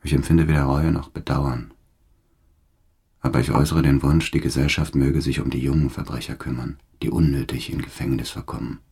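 An actor playing a hardened, embittered career criminal who makes grave, defiant declarations in a radio drama monologue. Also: male voice, extremely low pitch, steady tone, a little fast, a little mellow, full character voice. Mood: resolute, cold. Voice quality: gravelly, husky.

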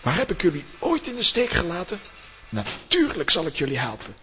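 An actor playing an irritable but helpful male professor in a children's radio play, brisk and lecturing. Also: fairly high pitch, wildly swooping pitch. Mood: irritated, instructive. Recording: clearly noisy recording with clicks.

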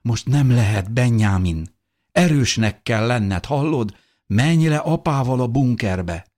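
Man voicing an anguished pleading mother, raised pitch. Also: expressive, a little mellow, natural voice. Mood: tearful pleading. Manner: pleading, emphatic, emotional. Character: anguished, self-sacrificing young mother pleading with her family.

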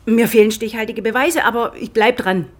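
An actress playing an earnest, dutiful state prosecutor in a radio drama, quick to indignation. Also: female voice, on the high side, natural voice. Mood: earnest, determined. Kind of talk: German radio drama acting.

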